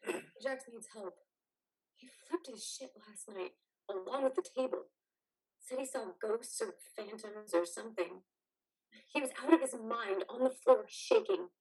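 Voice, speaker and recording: A woman playing the exhausted, fiercely protective partner of a troubled veteran in a play reading, blunt and biting, with a husky voice. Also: fairly high pitch, a little slow, a little mellow, poor audio. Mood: desperate. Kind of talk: play reading, modern drama.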